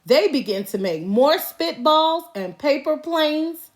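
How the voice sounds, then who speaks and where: A woman narrating a children's story aloud as an expressive storybook reader. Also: sits high, slow.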